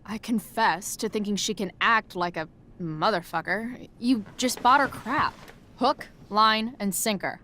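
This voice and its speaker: A woman's voice: mid-range voice, measured pace, sharp tone, slight background noise. An actress playing a rebellious teenage girl, blunt, biting and sarcastic, in a video game drama. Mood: defiant, mocking. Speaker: voice actor.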